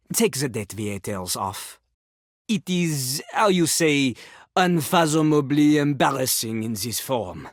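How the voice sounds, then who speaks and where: A woman voicing a cocky, theatrical male French rapping toilet in a video game, husky and pompous, with a French accent. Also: sits low, dry recording, clean studio sound, heavily acted. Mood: embarrassed. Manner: pompous, talks of himself in third person. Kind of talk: video game character acting with rapped verses.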